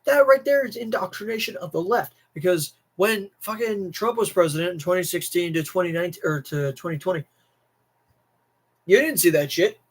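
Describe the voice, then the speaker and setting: A man's voice: high voice, normal speed, breathy edge. A young American man ranting casually and emphatically as a livestream host, repeating himself and swearing. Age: young adult. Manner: emphatic, repetitive, profane. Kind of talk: casual livestream rant to chat.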